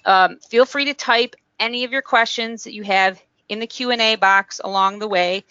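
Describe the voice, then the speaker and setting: A woman's voice: mid-range voice, gravelly, sharp tone, no room sound. A woman hosting a webinar, reading an upbeat introduction and housekeeping notes. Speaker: webinar host. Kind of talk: scripted host introduction. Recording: dry, dull lo-fi webinar audio.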